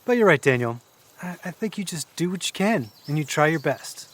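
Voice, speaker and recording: A man acting a troubled, warm-hearted brother in an audio drama, halting and repeating words, prone to swearing. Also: fairly high pitch, expressive. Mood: wistful. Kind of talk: naturalistic audio drama dialogue.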